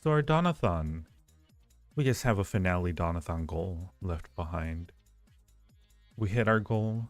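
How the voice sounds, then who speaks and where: Male streamer, deep voice, casual and flirty chat, roomy sound with faint music underneath. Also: expressive, a little slow, pauses often. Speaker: livestream host. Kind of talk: casual livestream chat with viewers.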